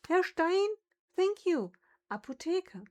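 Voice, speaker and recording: A woman's voice: extremely high pitch, very animated, slow. A woman with a German accent, a native German speaker, chatting casually on a livestream and musing aloud over how words are pronounced.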